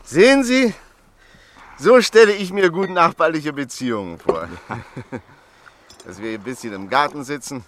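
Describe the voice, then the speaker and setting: A man's voice: fairly high pitch, lively intonation, a little slow, long pauses, fairly bright tone. An actor in a radio play voicing a stocky, pushy, jovial American neighbor in his fifties who talks insistently and chuckles.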